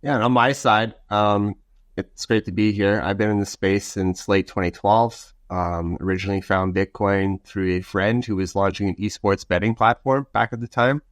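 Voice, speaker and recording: A man from Romania, a guest on a podcast, telling his story in a relaxed way with frequent pauses. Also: on the low side, no room sound. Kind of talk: casual podcast talk.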